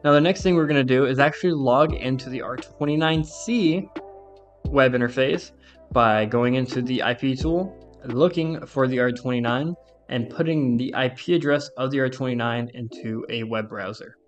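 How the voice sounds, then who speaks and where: A man's voice: mid-range voice, normal speed, soft background music. A man presenting a step-by-step tech tutorial in an informal, conversational instructional manner. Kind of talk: casual step-by-step software walkthrough.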